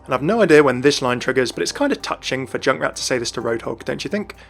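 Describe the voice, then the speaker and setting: A male narrator delivers game lore commentary at a brisk clip. His voice sits at a middle pitch, with music playing underneath. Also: lively intonation, vocal fry, a bit bright. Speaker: video narrator.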